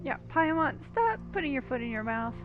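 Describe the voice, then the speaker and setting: A woman's voice: high voice, big pitch swings, fairly quick, phone-quality sound. A woman giving casual, teasing, wry commentary while playing a video game. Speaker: gamer commentator. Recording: game music faintly behind.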